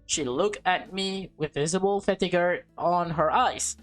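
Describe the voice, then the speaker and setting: A woman with a slightly low voice narrates a visual novel for a playthrough. She moves between read-aloud story text and informal reactions, with music playing underneath. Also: a little slow. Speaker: let's play streamer reading and reacting to a visual novel. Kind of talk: read-aloud narration with casual live commentary.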